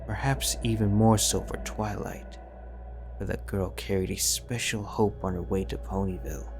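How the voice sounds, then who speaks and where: A man narrating a fanfic audiobook in a measured, grave storytelling manner. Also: low-pitched, fairly even intonation, vocal fry, darkish tone. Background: background music.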